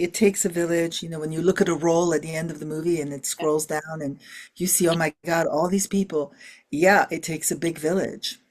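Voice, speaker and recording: Brief: middle-aged woman, lowish voice, chatty interview guest, animated and heartfelt. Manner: expressive, warm about family, frequent fillers. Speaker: filmmaker and actress being interviewed. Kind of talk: casual interview talk.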